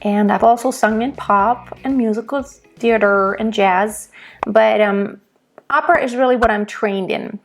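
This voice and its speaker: A woman from Germany, a vocal coach explaining diction in a slow, deliberate lesson. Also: fairly high pitch, steady tone, soft background music.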